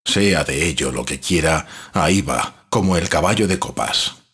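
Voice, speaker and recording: Deep, very raspy actor as gothic narrator. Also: male voice, expressive, normal speed, fairly bright tone, heavily acted. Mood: brooding, ominous. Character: brooding gothic writer narrating a chilling old legend. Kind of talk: scripted dramatic narration.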